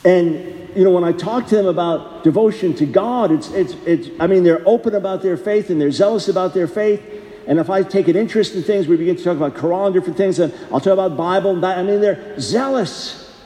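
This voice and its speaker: Man preacher, high mellow voice, earnest conversational sermon, roomy reverberant hall. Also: fairly quick. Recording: echoey hall, long reverb.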